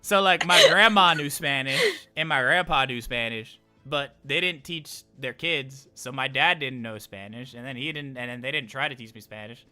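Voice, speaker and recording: A man's voice: mid-range voice, moderate pace, few pauses, pushed and bright, faint music behind. A man hosting a casual livestream, chatting in an informal, rambling, jokey way. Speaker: livestream host.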